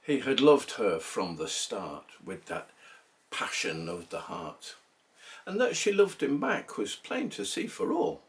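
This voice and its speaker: A man giving a theatrical, measured recitation of a narrative poem, pausing between phrases. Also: lowish voice, wildly swooping pitch, distant mic. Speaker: poetry reader.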